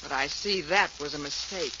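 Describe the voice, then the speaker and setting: A woman's voice: deep voice, moderate pace. An actress playing a brash, determined young showgirl in 1940s radio drama, blunt and tough-talking. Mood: bitter, determined. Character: brash, hard-edged young female showgirl, desperate and determined. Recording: muffled, hissy 1940s radio recording.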